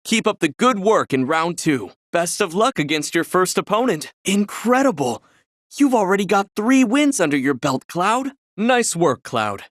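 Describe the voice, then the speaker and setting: An actor playing a courteous, encouraging tournament clerk in a video game, formal and articulate. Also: male voice, sits high, very animated, normal speed, a bit bright, no room sound, studio quality. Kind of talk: scripted video game dialogue.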